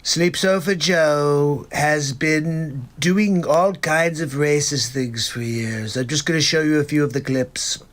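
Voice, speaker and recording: A man hosting a comedy livestream talks over the clips he plays in a somewhat high voice. His asides are sarcastic and incredulous, and he sounds like he is streaming from a home setup. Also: slow, hardly any pauses. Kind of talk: mocking commentary between clips.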